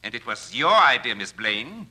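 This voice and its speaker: An actor playing a formal, guilty doctor in a 1940s radio drama, with a husky voice and stiff diction. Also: male voice, medium pitch, big pitch swings, normal speed, sharp tone, full character voice. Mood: indignant.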